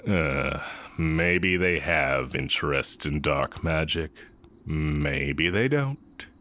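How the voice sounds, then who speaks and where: Man playing a very deep, sly dark mage. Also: animated, exaggerated voice. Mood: sly, menacing. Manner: unhurried, musing, taunting drawl with drawn-out pauses. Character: sly heretic dark magic teacher, a man, coolly teasing. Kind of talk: intimate audio roleplay acting.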